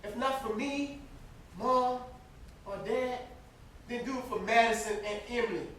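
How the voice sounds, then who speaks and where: A man's voice: very high-pitched, fairly even intonation, a little slow, a bit bright. A young man acting an anguished, conscience-stricken brother in a stage play, earnest and pleading. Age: young adult. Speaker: student stage actor. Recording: distant, very echoey, noisy stage recording.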